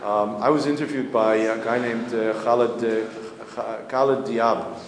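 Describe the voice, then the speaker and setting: A low-voiced man in his fifties answers reporters' questions as a community spokesman. He talks in a measured, matter-of-fact way, often pausing to find his words. The recording has a long, echoey hall reverb with faint ambient noise behind him. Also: fairly even intonation. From United States. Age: 50s. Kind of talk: press interview answers. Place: indoor hall.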